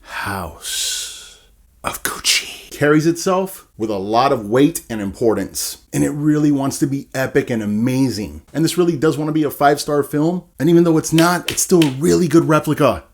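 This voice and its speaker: A man giving a casual, ranting film review in an animated, sarcastic, chatty way. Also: on the high side, normal speed. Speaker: movie reviewer.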